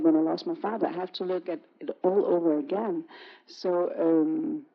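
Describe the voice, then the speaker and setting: Halting woman from Greenland, muffled audio. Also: on the low side. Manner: halting, searching for words, reflective. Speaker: panelist, artist. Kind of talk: panel conversation. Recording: muffled, dull sound.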